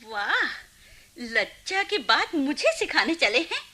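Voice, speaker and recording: A middle-aged mother in a radio play, high and bright of voice. She is long-suffering yet defiant, and her emotional lines swing widely in pitch. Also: very animated, exaggerated voice. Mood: bitter, defiant. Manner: emotional, dramatic delivery with drawn-out pleas. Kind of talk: Hindi radio play acting.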